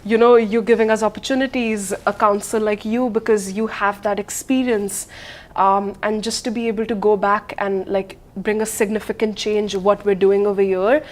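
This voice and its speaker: A woman's voice: medium pitch, flat delivery, moderate pace. A young adult woman student talking earnestly in a casual conversation, with many "like" and "you know" fillers.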